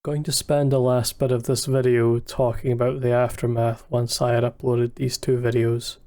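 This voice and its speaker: Even-toned young man narrating. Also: medium pitch, normal speed. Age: young adult. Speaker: student filmmaker narrating own video. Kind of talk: scripted retrospective voice-over narration.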